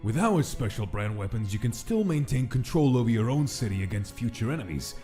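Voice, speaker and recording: A man acts out a scheming monster gangster for a comic dub. The voice is mellow and animated, smooth with false courtesy, over faint music. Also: mid-range voice, fairly quick, natural voice. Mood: smug, falsely polite. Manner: smooth-talking, falsely polite. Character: scheming skeleton gangster monster feigning friendliness. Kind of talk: comic dub character acting.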